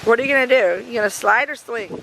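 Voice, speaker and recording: A woman, a little hoarse, chatting upbeat to her camera and kids outdoors in a homestead vlog. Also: on the high side, fast talker, heavy background noise. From Southern United States. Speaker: homestead vlogger. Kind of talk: casual vlog chat. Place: outdoors in a backyard.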